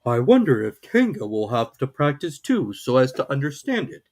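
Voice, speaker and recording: A woman voicing a humble, slow-witted, good-natured male bear with a mild, ponderous way of talking in a storybook reading. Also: extremely low pitch, big pitch swings, a little mellow, pitched above the performer's own voice, full character voice. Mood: pondering.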